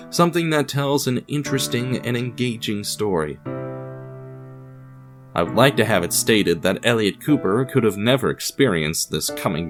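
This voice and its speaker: A man narrating scripted fiction in a wry, ominous, formal manner, addressing the listener directly. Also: lowish voice.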